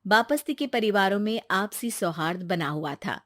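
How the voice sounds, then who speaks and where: A woman narrates a scripted tale in a medium-pitched voice. The sound is dry, with hardly any room around it. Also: lively intonation, normal speed, fairly bright tone, no room sound.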